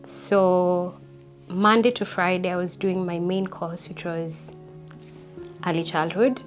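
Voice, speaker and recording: A young woman telling her life story in an interview, reflective and unhurried, often repeating words for emphasis. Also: on the low side, flat delivery, soft background music. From Kenya. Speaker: interview guest.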